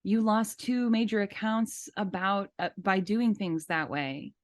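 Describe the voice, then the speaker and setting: A woman's voice: medium pitch, a little slow, dry recording. Indignant woman podcaster, close dry sound. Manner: indignant, emphatic. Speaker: podcast host. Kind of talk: casual opinionated podcast chat.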